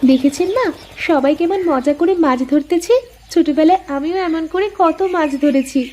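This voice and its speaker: A woman's voice: sits high, normal speed, dark tone, a little hiss or hum, natural voice. A woman voicing a pouty, dutiful young village wife in a cartoon. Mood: eager. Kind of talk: cartoon voice acting.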